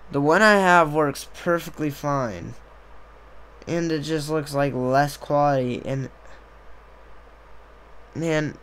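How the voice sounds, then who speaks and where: Rambling young man, slightly hoarse. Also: medium pitch, a bit bright. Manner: rambling, meandering, many fillers. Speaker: streamer. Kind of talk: casual live-stream chat. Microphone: desktop USB mic on a boom arm.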